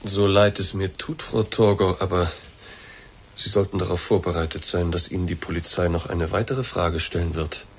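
An actor playing a courteous, quietly probing investigator in a German radio drama, speaking formal, deliberate standard German. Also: male voice, deep voice, steady tone, a little hiss or hum. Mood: measured, probing.

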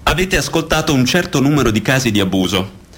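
A man's voice: medium pitch, sing-song, fast talker, fairly bright tone, breathy edge. An actor playing a grave male witness and narrator, reciting testimonies formally and solemnly in a radio theatre style. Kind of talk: scripted radio theatre reading.

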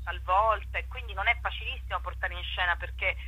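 A woman talking off the cuff as an interview guest, expressive, thinking aloud and repeating words for emphasis. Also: mid-range voice, a little fast, slightly rough, no room sound, poor audio. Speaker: theatre actress and director interviewed about her play. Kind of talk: unscripted radio interview chat.

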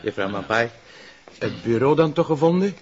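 An actor playing a sensible, wry police sergeant with a Flemish accent in a naturalistic crime drama. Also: male voice, medium pitch, expressive, moderate pace, highs cut off, slight background noise, lightly acted. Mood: wry, polite. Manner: wry, matter-of-fact. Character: dry, sensible male police sergeant, needling his partner.

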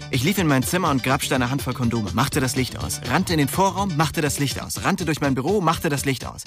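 Male actor, young man, radio drama narrator, intimate, wry, slangy, lovestruck, mid pitch, music behind. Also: natural voice. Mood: musing, hurried, then frantic. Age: young adult. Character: lovestruck, restless young man narrating his small-town life. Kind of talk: radio drama first-person narration and dialogue.

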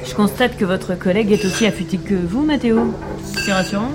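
An actress playing a mysterious, cheeky woman in a French audio drama, with a brisk, ironic, teasing delivery. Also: mid-range voice, over music, natural voice. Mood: teasing irony. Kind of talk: French audio fiction acting.

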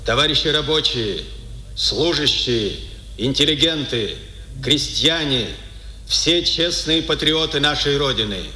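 A man's voice: a little high, lively intonation, unhurried, bright, forceful tone, full character voice. An actor playing an earnest male resistance orator declaiming a proclamation in a 1950s radio drama. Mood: resolute. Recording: echoey 1950s radio studio recording.

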